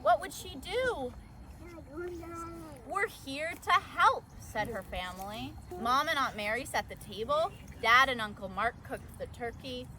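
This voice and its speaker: A woman's voice: sits high, very animated, a little slow, bright, forceful tone. A woman reading a children's story aloud as a volunteer storyteller, expressively acting out the dialogue and sound words, recorded outdoors. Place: outdoors at an orchard event. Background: outdoor ambience and background noise.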